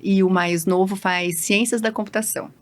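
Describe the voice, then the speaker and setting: A middle-aged woman with a southern Brazilian accent speaks as a guest in a casual interview. Her voice sits at an ordinary pitch for a woman. She is chatty and emphatic, telling things in an easy conversational style. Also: steady tone, normal speed. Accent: southern Brazilian Portuguese. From southern Brazil, grew up in Londrina, Paraná. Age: late 40s to early 50s.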